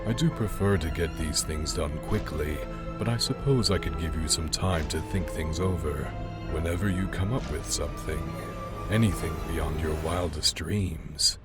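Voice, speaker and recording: A very low-voiced, sly wish granter from an audio horror drama. He is courteous and formal, with the polish of a slick salesman. Prominent music plays under his voice. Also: expressive, measured pace. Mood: sly, patronizing.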